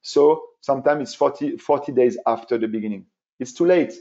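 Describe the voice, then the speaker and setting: A man's voice: medium pitch, fairly quick, creaky, dark tone. Man giving a marketing webinar. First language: French. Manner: repetitive, emphatic, with frequent fillers and restarts. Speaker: webinar presenter, marketing consultant. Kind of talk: presenting a slide webinar. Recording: dull, muffled webinar audio.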